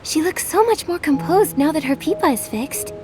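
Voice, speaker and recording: A woman's voice: high voice, lively intonation, moderate pace, over music. An actress playing a kind, encouraging young girl adventurer in a video game, speaking sweetly and considerately. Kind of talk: video game cutscene acting.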